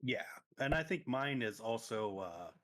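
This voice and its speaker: A man co-hosting a casual podcast, talking in a rambling, self-correcting way, his volume rising and falling with his excitement, recorded quiet in the mix. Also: mid-range voice, measured pace. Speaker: podcast co-host.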